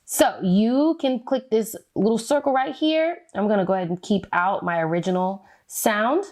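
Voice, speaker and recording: An American woman speaks as a beauty vlogger in a chatty, informal way. Her pitch sits in the middle for a woman, and she explains things step by step with plenty of relaxed slang. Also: normal speed. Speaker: beauty vlogger giving a tutorial. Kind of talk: casual step-by-step tutorial chat.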